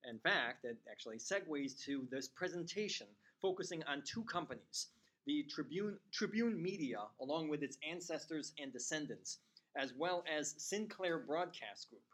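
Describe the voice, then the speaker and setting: A man's voice: on the high side, animated, moderate pace, fairly bright tone. Chatty man giving a public talk. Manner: chatty, laughs often, repeats words when starting. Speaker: guest speaker. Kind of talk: public talk with casual chat before.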